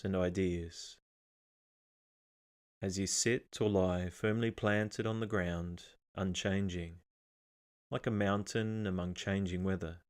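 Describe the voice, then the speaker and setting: A man with a fairly low voice leads a guided meditation. His delivery is calm and measured, giving gentle step-by-step instructions and leaving pauses between phrases. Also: smooth.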